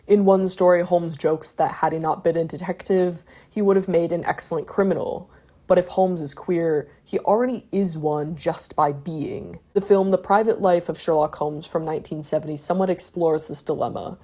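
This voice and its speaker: A woman's voice: low-pitched, warm tone. A woman narrating a scripted video essay in a reflective, analytical way, with the odd casual aside.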